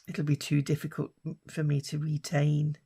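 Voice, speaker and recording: An older British woman with a husky, hoarse voice from a lingering cough, chatting casually while she crafts. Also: low voice, completely flat delivery, normal speed, mellow. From United Kingdom. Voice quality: husky, raspy. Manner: rambling and chatty, with many fillers. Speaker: craft vlogger.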